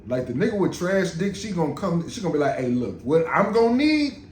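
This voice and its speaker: A man, talking loud and joking in casual podcast banter. His voice sits a little high, and it echoes around a room with faint noise underneath. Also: sing-song, a little fast. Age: 30s. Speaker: podcast co-host.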